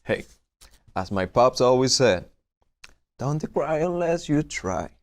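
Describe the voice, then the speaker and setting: Man acting a casual young guy, mid-pitched. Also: very animated, takes it slowly, slight background noise. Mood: playful. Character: easygoing young guy in a gang of friends. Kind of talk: screenplay table read acting.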